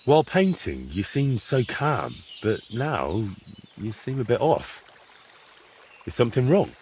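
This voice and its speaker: A man's voice: medium pitch, very animated, measured pace, a little mellow, a little hiss or hum. An actor playing a curious, plain-spoken young man in a medieval video game, frank and good-natured. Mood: concerned, curious. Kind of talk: dramatic dialogue in a cinematic historical game.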